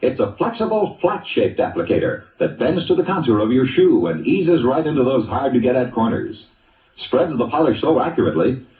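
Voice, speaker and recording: A man delivering a scripted vintage radio advertising pitch as a commercial announcer. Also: recorded from a distance. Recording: muffled, roomy old radio recording.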